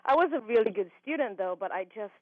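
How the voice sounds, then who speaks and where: A young woman from Austria with an Austrian accent, a giggly interview guest who laughs often and fills pauses with ums. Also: fairly high pitch, animated, no echo. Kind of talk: casual phone interview. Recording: tinny phone line, dry.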